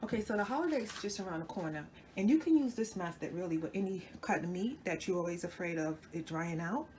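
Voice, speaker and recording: A woman's voice: fairly low pitch, lively intonation, quick pace, darkish tone, slight background noise. A woman hosting a cooking channel, chatting casually to viewers as she demonstrates a recipe in her home kitchen, sounding a little stuffy from a cold and often correcting herself. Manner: chatty, talks to viewers while working, self-correcting.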